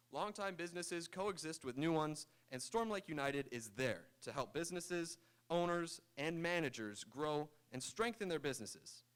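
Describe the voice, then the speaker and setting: A man's voice: a little high, fairly bright tone, clean tone. A man reading a scripted promotional announcement as a radio announcer.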